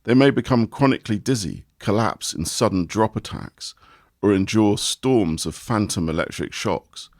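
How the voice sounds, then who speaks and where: A man giving a measured, expressive reading of a long article aloud. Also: lowish voice, no room sound, clean studio sound. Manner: measured, expressive reading with lively rise and fall. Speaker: reader of an article. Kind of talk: read-aloud article narration.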